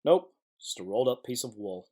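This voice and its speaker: A man's voice: medium pitch, sing-song, fairly quick, dark tone. A man playing a brusque noir police chief. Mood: brisk, businesslike. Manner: brusque, businesslike. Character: gruff, no-nonsense male city police chief. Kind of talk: noir audio drama acting.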